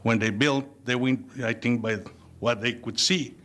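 A middle-aged man with a Spanish accent, speaking haltingly with many fillers at a city council public comment, into a podium microphone in a council chamber. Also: medium pitch, steady tone, a bit bright. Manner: halting, with frequent fillers and restarts. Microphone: podium microphone on a gooseneck.

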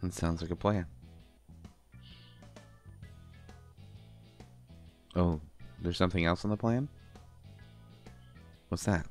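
A man playing an affectionate, bashful partner in an intimate roleplay audio, talking haltingly with stammers and gentle teasing. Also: low-pitched, soft background music, close to an everyday voice. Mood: tender, bashful. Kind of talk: intimate roleplay audio acting, one side of a conversation.

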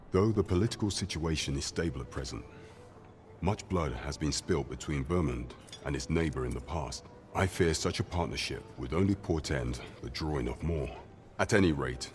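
An actor playing a grave, loyal royal counsellor in a fantasy game, formal and solemn with archaic phrasing. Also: male voice, very deep voice, a little hiss or hum. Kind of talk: scripted fantasy game acting.

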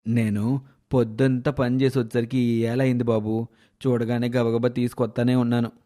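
A man playing a worried, humble, hardworking village father in an animated moral story. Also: medium pitch, normal speed, lightly acted.

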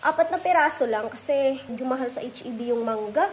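A woman's voice: sits high, a little fast, slight background noise, rough recording. A woman in her thirties with a Filipino accent, chatting casually and playfully to her vlog followers, recorded on a handheld phone at home. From Philippines. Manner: chatty, informal, playful asides. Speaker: vlogger. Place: indoors at home, kitchen and living area. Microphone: phone at arm's length.

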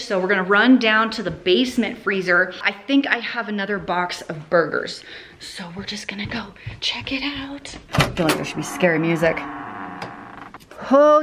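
A woman's voice: medium pitch, a bit bright. A chatty, upbeat woman in her thirties talks straight into a handheld camera in her kitchen, running through groceries and prices in an easy, casual way. There is a faint hiss of noise behind her voice. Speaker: family vlogger. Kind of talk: casual home vlog. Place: home kitchen. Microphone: handheld camera, held close.

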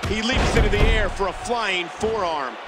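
A man's voice: high-pitched, moderate pace, over loud music, natural voice. A man playing a dramatic, emphatic play-by-play announcer in a wrestling video game. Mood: excited. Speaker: play-by-play commentator. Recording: very noisy arena ambience behind. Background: noisy arena ambience.

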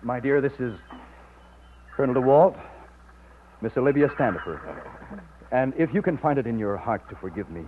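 A man's voice: mid-range voice, lively intonation, moderate pace, long pauses, a little hiss or hum, lightly acted. An actor playing an earnest young man in love in an old-time radio drama. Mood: flustered. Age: young adult. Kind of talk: 1950s radio drama acting and first-person narration. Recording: muffled narrow-band old radio recording.

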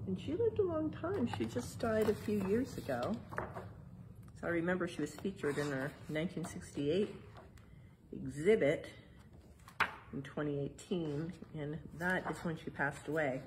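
A middle-aged woman reading a picture book aloud to children in a museum hall, spelling out each page title and then explaining it gently. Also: deep voice, lively intonation, a little slow, background noise. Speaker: museum storytime reader. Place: indoor museum exhibit hall.

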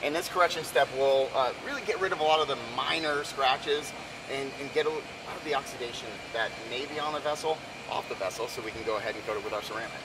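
A man's voice: mid-range voice, quick pace, heavy background noise. A man in his thirties, a boat detailing pro, giving encouraging casual instructions on polishing inside a big boat warehouse. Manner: encouraging, explains the steps. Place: large indoor boat storage warehouse.

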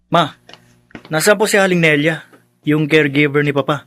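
A man's voice: on the high side, normal speed, fairly bright tone, faint music behind, natural voice. A man with a Filipino accent playing a spiteful, lazy young bully of a son in a radio drama, taunting and whiny. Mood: whiny, reluctant. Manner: taunting, whiny when told to work.

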